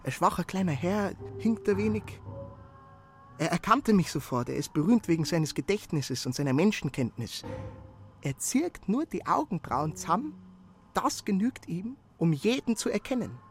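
An actor playing an eager, servile messenger in a radio drama, speaking earnestly and apologetically, with halting repetitions. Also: male voice, high voice, sing-song, a little hiss or hum. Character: eager, servile young male messenger reporting his efforts.

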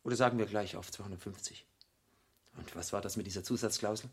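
Husky, low-voiced suave devil. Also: male voice, quick pace. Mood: businesslike, indulgent. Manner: polite, smooth-talking, ceremonious. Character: suave, courteous male devil posing as a foreign gentleman. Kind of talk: German radio drama acting.

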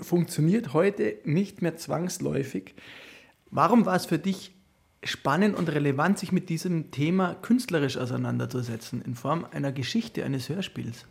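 A male radio interviewer with a fairly high, creaky voice. He puts his questions in a measured and articulate way, conversational but prepared. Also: darkish tone.

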